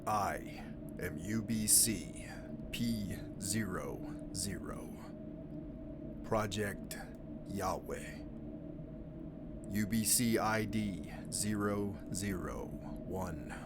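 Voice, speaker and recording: A man acts out a captive elite soldier with a low voice, reporting in clipped, formal military phrases. He stays stoic, in the manner of an audio roleplay. Heavy noise and ambience sit behind the voice. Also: drawn-out words, pauses often. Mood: grave, deliberate. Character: stoic captive male special-forces operative giving a mission debrief. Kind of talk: dramatic audio roleplay acting. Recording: clearly noisy background with ambience.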